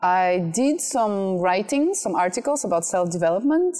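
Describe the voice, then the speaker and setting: A woman's voice: medium pitch, expressive, moderate pace, dark tone. A cheerful woman in her thirties, born in Russia and raised in France, chatting as an interview guest outdoors at a villa and laughing often. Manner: cheerful, laughs often, speaks in short phrases. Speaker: guest, MC and content creator. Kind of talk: casual interview chat.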